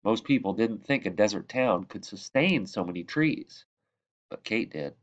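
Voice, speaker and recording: A man reading a children's book aloud as a narrator, with measured, expressive phrasing and emphasis on key words. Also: lowish voice, muffled recording. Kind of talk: read-aloud storybook narration.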